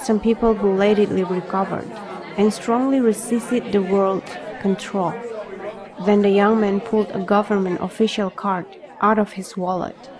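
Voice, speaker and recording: A woman's voice: mid-range voice, fairly even intonation, normal speed, a crowd in the background, natural voice, low-quality recording. A woman playing an introspective young reader, narrating his story in scripted first-person audio drama style. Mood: measured.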